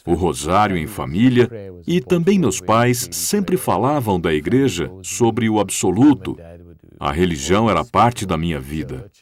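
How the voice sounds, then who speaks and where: A man with a Brazilian Portuguese accent voicing an interviewee's answers in a dubbed interview, speaking in a measured, reflective way. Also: lowish voice. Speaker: interviewee voice-over.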